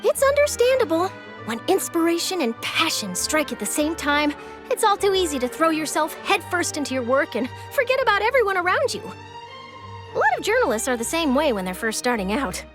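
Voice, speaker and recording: A woman's voice: extremely high pitch, sing-song, normal speed, over music. A woman playing an upbeat, encouraging young female reporter in an anime-style game, speaking in an eager, articulate, reassuring way. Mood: cheerful, confident.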